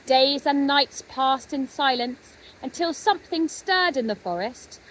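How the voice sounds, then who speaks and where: Woman, children's storybook narration, high pitch, expressive dramatic pauses, faint background noise. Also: poor audio. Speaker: storybook reader.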